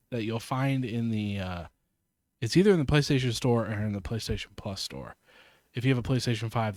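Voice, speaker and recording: A man's voice: mid-range voice, dry recording, studio quality. Easygoing man in casual podcast chat. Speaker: podcast co-host.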